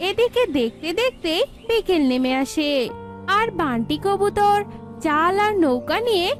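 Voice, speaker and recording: A woman's voice: extremely high pitch, animated, measured pace. A woman storyteller reading scripted narration for a children's cartoon. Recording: faint music behind the voice.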